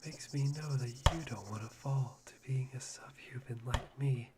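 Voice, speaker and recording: A performer plays an unhinged young man in an ASMR roleplay, whispering in a breathy, mid-pitched voice. He sounds meek and worshipful, with a softly menacing edge and obsessive devotion. The recording is heavily noisy. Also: moderate pace, close to an everyday voice. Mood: fawning, eerie.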